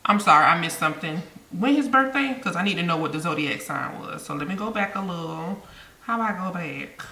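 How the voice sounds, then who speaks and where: A woman with a lowish, bright voice chatting casually as she reacts to a show. She talks straight to her viewers, and her voice rings in an echoey room. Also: lively intonation, fairly quick, slight background noise. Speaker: reaction video host.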